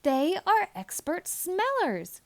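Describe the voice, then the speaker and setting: A jolly old man of the storybook kind, played by a woman reading aloud, hearty and commanding. The pitch sits high and swings widely, with emphatic exclamations. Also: huge pitch swings, takes it slowly, heavily acted. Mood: coaxing. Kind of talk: children's storybook read-aloud.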